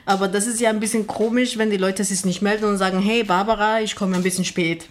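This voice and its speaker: A woman's voice: mid-range voice, steady tone, fast talker, slight background noise. A woman from Houston, Texas, a guest on a radio panel, speaking German as a with frequent grammar slips and fillers. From Houston, Texas, USA. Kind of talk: casual radio panel chat.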